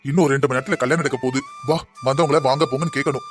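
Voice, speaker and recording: A man's voice: on the high side, very fast, soft background music, natural voice. A man voicing a mild middle-aged village father with a southern Tamil Nadu accent, placating and hurrying people along in a cartoon comedy.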